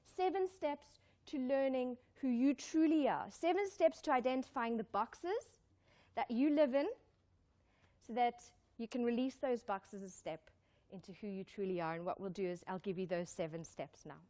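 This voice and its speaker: Female motivational speaker, higher-pitched voice, emphatic delivery with repeated key phrases and direct questions to a live audience. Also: normal speed, mellow. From born in Zimbabwe, raised in South Africa. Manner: exclamatory, emphatic, repeats key lines, puts questions to listeners. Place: live talk before an audience.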